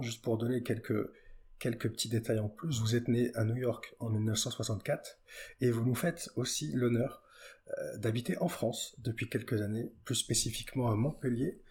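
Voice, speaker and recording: A man's voice: mid-range voice, darkish tone. A man hosting an interview, reading a formal introduction and correcting himself now and then. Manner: formal, reads an introduction with small self-corrections. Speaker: podcast host. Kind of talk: scripted introduction.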